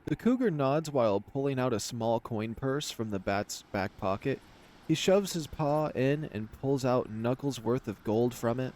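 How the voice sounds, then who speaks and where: A man's voice: medium pitch, unhurried, darkish tone. A man reading scripted fantasy story narration as the narrator of a visual novel.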